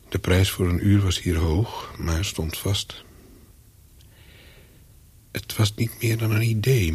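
An actor playing a wary, calculating spy on the run, narrating a radio play in terse sentences with a husky voice. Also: male voice, low voice, darkish tone, full character voice. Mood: brooding. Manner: terse, brooding, clipped sentences. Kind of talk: first-person radio play narration with dialogue.

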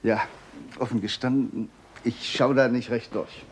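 A man acting out a baffled police assistant who talks through the facts hesitantly, as in an old radio play. His voice is mid-pitched, with a faint hiss underneath. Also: fairly even intonation, unhurried, close to an everyday voice. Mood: puzzled. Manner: hesitant, pondering. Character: puzzled male police assistant. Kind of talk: 1950s radio drama acting.

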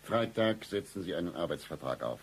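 An actor playing a laconic, unimpressed private detective in a satirical radio play, with a husky voice and dry, deadpan manner. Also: male voice, deep voice, fairly quick, a little hiss or hum.

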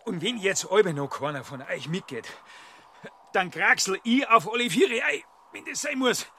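An actor playing a loyal, excitable Bavarian police sergeant in a radio drama, speaking dialect in a halting, stammering way. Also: male voice, sits high, breathy edge, full character voice. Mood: stubborn, dutiful. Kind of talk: German radio crime drama acting in dialect.

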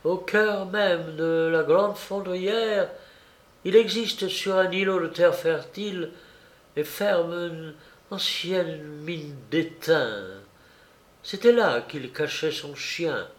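A man voicing a distraught young wife who speaks in a broken, plaintive, halting way, in an audiobook story. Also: fairly low pitch, reverberant, pitched above the performer's own voice. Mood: distressed. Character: distraught, battered young wife, bitter and sobbing.

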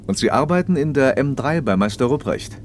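An actor playing a paternal, probing factory manager in a radio play, speaking in a measured, slightly didactic way. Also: male voice, mid-range voice, animated, slight background noise, natural voice. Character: socialist factory manager, paternal, probing, talkative male official. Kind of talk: naturalistic German radio play dialogue.

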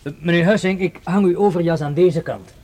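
An actor playing a polite, deferential manservant of a wealthy house in a radio drama. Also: male voice, on the high side, fairly quick, background noise, lightly acted. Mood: courteous.

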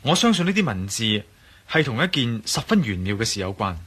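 A man's voice: medium pitch, big pitch swings, fairly quick, a bit bright, slightly rough. A man playing a confident, persuasive adventurer and investigator in a radio drama, earnest and insistent. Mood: earnest inquiry. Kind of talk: Cantonese radio drama acting.

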